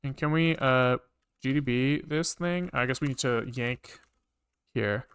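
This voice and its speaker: A man casually live-coding on a stream, thinking aloud in halting, muttered bits as he works. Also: mid-range voice, a bit bright, smooth. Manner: halting, muttering to himself while working. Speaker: programming livestreamer.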